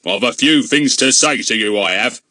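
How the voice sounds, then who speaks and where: A man's voice: medium pitch, moderate pace, no echo, studio quality, close to an everyday voice. A man voicing a burly, bullying village policeman who blusters and bellows orders at a boy. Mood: threatening. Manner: loud, blustering, commanding.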